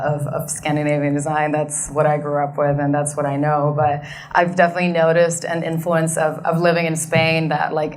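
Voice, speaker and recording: Low-voiced Finnish woman, relaxed and thoughtful. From Finland. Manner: relaxed, thoughtful, many fillers and restarts. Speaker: guest speaker, design manager. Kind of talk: onstage fireside chat. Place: event stage in front of an audience.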